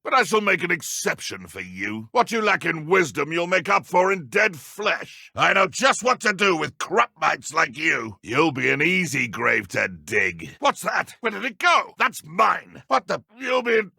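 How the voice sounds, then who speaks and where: A man with a British accent voicing a ruthless, sneering dark wizard in a video game, menacing and mocking. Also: on the high side, very animated, a little slow, vocal fry, hardly any pauses, husky, no echo, studio quality, exaggerated voice. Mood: aggressive taunting. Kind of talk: video game combat and idle voice lines.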